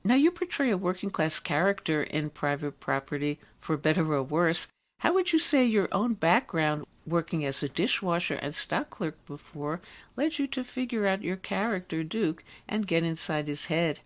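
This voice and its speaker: A man's voice: a little high, very animated, moderate pace. A man working as a radio interviewer, putting prepared, formal questions to a guest.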